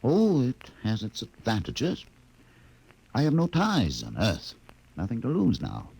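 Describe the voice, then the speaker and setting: An actor playing a fatalistic, sardonic expedition doctor in a 1950s radio drama, speaking deliberately and philosophically. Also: male voice, mid-range voice, wildly swooping pitch, gravelly, darkish tone, breathy edge, exaggerated voice, poor audio. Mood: bitter.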